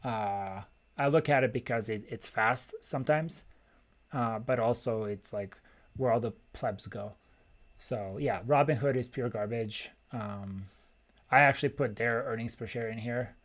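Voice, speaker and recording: A man's voice: medium pitch, measured pace. A man hosting a livestream as a macroeconomist, giving blunt, opinionated casual commentary and thinking aloud in short fragments. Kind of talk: casual live financial commentary, answering viewer questions.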